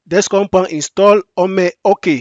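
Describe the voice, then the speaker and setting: A man with a fairly high voice, recorded dry with no room sound, guides listeners through an installation. French is not his first language, so he speaks haltingly, restarts his phrases and repeats each instruction step by step. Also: fairly even intonation, a little mellow, no room sound, dull audio. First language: English. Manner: halting non-native French with frequent restarts. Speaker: software tutorial presenter. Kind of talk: screen-recorded software tutorial.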